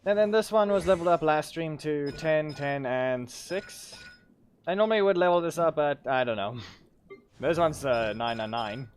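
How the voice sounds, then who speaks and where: A man with a fairly high voice talks casually through a game as he streams. He rambles, corrects himself and drops into muttered asides. The audio carries faint noise and clicking. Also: moderate pace. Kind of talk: casual gameplay commentary.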